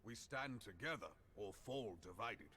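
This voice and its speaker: Casting: stern male commander from a game, low, sharp and firm, as a narrator puts him on. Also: lively intonation, a little slow, a little hiss or hum. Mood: resolute.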